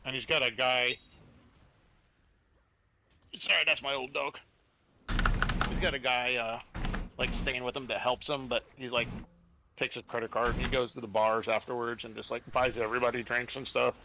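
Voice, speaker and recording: A man's voice: medium pitch, little pitch movement, normal speed, vocal fry, pauses often, bright, forceful tone. A man in his forties, the streamer, chatting casually and rambling through anecdotes with friends in voice chat. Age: 40s. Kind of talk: casual voice chat while gaming. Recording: noisy stream audio.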